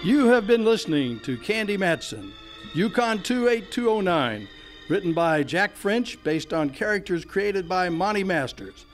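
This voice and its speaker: A man's voice: sits high, sing-song, unhurried, faint music behind. An older man reading scripted narration as the announcer of an old-time detective radio play on stage. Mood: announcing. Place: on a stage, live performance. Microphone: microphone on a stand.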